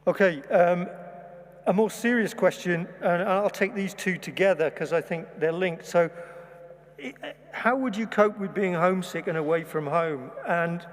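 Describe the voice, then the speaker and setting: A man's voice: high voice, a little slow. A man who lectures, chairing a student panel and putting questions to it without a script. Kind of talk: unscripted moderating, reading out questions. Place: large echoing hall. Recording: reverberant hall, faint background ambience.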